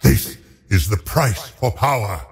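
Undead sorcerer, gravelly, slow and imperious, relishing death, fantasy game villain, cavernous reverb. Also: male voice, lowish voice, lively intonation, exaggerated voice. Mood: proud, threatening. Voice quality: gravelly, menacing. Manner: theatrical, imperious, drawn-out delivery. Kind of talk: fantasy video game voice lines.

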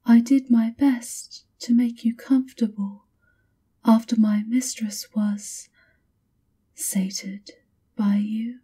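A woman playing a subservient, coolly formal maid of a vampire countess in a whispered ASMR roleplay, speaking softly and politely with measured, breathy words. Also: a little high, steady tone. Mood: gently menacing.

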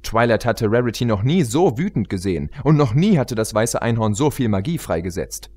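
A man's voice: mid-range voice, big pitch swings, a little fast, warm tone. A man reading a story aloud as an audiobook narrator in a scripted narration style.

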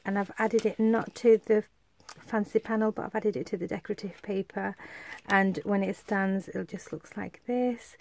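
Woman, stuffy head cold, chatty and rambling, craft show-and-tell, middling pitch. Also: darkish tone, dry recording. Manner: chatty, rambling, many fillers. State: congested, coming down with a cold. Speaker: craft vlogger.

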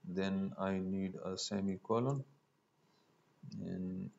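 Slow, low-voiced man teaching coding. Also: steady tone, creaky, darkish tone. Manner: halting, thinking aloud while typing. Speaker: programming instructor. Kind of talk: step-by-step screencast tutorial. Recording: dull lo-fi mic with noise.

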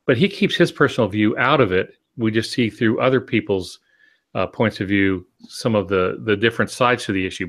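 An older man in his sixties with a fairly low voice talks through ideas as a podcast co-host. He explains conversationally, in a measured way, often pausing mid-phrase. He is on a video call from a home office. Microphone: video call with earphones.

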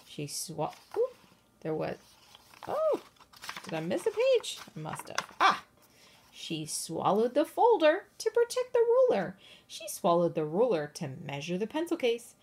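Animated, unhurried woman storyteller. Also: on the high side, slight background noise. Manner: expressive, sing-song, emphatic, addressing young listeners. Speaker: read-aloud storyteller. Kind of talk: children's picture book read-aloud with chatty asides.

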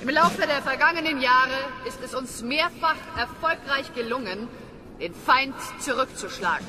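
A woman's voice: a little high, close to an everyday voice. An actress playing a stern female lieutenant giving a formal, authoritative military speech in a radio drama. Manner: authoritative, declamatory. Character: stern female lieutenant addressing new recruits.

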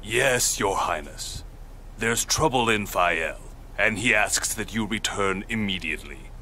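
A man's voice: on the low side, expressive, measured pace, pushed and bright, a little hiss or hum. An actor playing an older royal retainer in a game, speaking formally and deferentially as he delivers messages. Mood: grave, formal. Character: old royal retainer, formal, urgent, respectful messenger.

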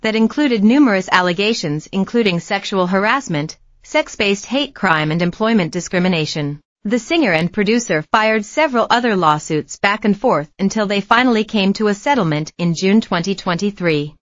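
A woman narrates a celebrity news piece in a steady, even read-aloud style. Her voice sits in a middle pitch. The recording is close and dry, with a slightly dull, muffled tone. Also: moderate pace, hardly any pauses, dry recording.

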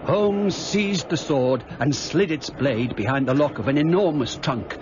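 A man's voice: a little high, animated, a little slow. An actor playing a British doctor who narrates his detective friend's adventure in formal, vivid radio drama style. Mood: gripping, dramatic. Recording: dull sound, clear background noise.

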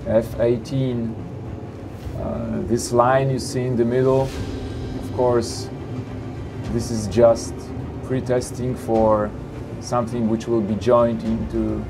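A man presents flight simulator cockpits in an upbeat, salesy way, often adding "you know" and "of course". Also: mid-range voice. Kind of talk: product showcase narration. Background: background music.

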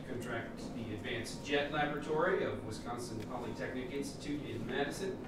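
An actor playing a harried, wry scientist and husband in a radio drama. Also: male voice, medium pitch, noisy background, lightly acted. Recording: very echoey, distant, music behind.

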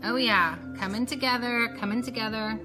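A woman's voice: on the high side, steady tone, moderate pace, music in the background. A woman in her thirties hosting a casual beauty live stream, chatting in a rambling way as she works. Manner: chatty, rambling, talks while doing hair and makeup. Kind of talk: casual live tutorial chat.

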